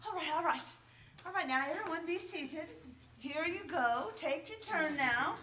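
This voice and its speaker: A protective, sharp-tongued mother, played by an actress, high and bright with measured pacing. She sounds practical and theatrical, on an echoey stage in a radio play. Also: lively intonation, heavily acted. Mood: motherly. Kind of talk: stage radio drama acting. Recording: echoey live stage recording.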